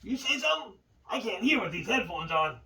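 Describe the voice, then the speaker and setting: A man's voice: high-pitched, sing-song, fairly quick, pushed and bright, recorded from a distance, slight background noise. A man presenting an upbeat tutorial as an instructor, with goofy, exaggerated exclamations and asides.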